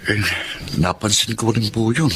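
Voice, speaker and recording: A man's voice: fairly low pitch, moderate pace, a bit bright, a little hiss or hum, close to an everyday voice. A man playing an earnest, polite twenty-year-old gas station attendant in a drama, with a Filipino accent. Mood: puzzled. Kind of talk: Filipino drama acting and narration.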